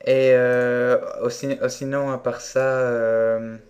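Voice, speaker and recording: A man with an average-pitched voice talks on the phone as a prank caller posing as a buyer. He is deadpan and hesitant, yet keeps pushing with his questions in an unscripted way. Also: slow pace.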